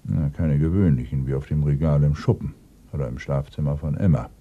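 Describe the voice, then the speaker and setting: An actor playing a brooding, irritable senior police inspector in a radio crime play, ponderous and commanding with sudden emphasis. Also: male voice, a bass voice, brisk, slightly rough, phone-quality sound, exaggerated voice. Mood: grave. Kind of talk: German radio play acting.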